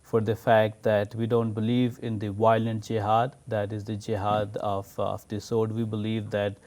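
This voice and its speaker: Deep and even, this man speaks with a level, unhurried delivery. He is an imam answering interview questions, laying out each point patiently and methodically. There is little rise and fall in his low voice. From Pakistan. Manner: patient, explanatory, measured.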